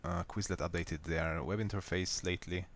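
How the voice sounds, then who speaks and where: A man with a Hungarian accent, a native Hungarian speaker, calmly walking viewers step by step through a software fix in a screen recording. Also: deep voice, a little hiss or hum. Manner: unhurried, plain, step-by-step explaining. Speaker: software tutorial maker. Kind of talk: screen-recorded how-to walkthrough.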